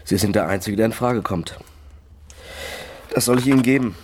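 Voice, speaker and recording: An actor in a German radio crime drama playing a cynical, persuasive lawyer who is a secret lover and accomplice, in a natural style. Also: male voice, fairly low pitch, normal speed, husky. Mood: grave, persuasive.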